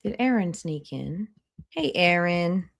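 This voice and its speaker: A woman's voice: on the low side, expressive, takes it slowly, vocal fry. A woman hosting a live stream with casual reaction commentary, speaking in a blunt, skeptical way and swearing freely.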